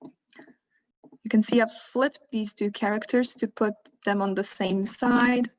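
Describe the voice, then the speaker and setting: Slow-talking woman. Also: mid-range voice, fairly even intonation. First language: Croatian. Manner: thinks aloud while working, asks learners questions. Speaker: workshop instructor. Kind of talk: live online tutorial.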